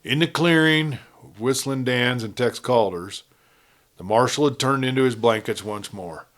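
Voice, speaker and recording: Guy reading a western audiobook, storyteller narration, middle pitch. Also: a little slow, fairly bright tone. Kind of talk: scripted narration of a Western novel.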